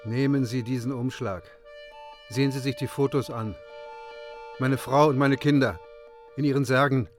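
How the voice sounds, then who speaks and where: A man's voice: mid-range voice, fairly even intonation, normal speed, pauses often, close to an everyday voice. An actor in a German radio drama playing a bitter, grieving father who speaks in short, accusing phrases. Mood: bitter grief. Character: bitter, grieving father and widower confronting someone. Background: guitar and harmonica music.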